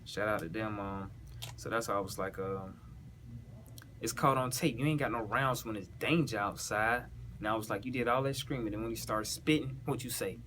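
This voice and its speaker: A man with a Southern US accent speaks in a fairly low voice. He talks casually in heavy slang, explaining and reciting rap bars and checking that the listener follows. The recording carries faint ambient noise. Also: steady tone, normal speed, fairly bright tone. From South Carolina, US. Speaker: battle rapper breaking down their own bars.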